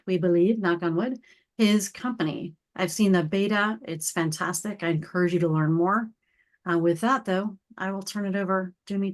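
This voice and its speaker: A woman with a mid-range voice hosts a weekly business meeting online. She sounds upbeat and organized as she walks people through how things work, over a video call. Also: medium pitch. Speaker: meeting host. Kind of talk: hosting a virtual meeting.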